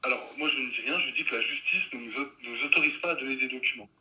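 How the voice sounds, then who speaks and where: A man's voice: mid-range voice, racing, heavy background noise. A man speaking as an official spokesman, making a formal, guarded statement in bureaucratic language. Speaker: prefecture spokesman.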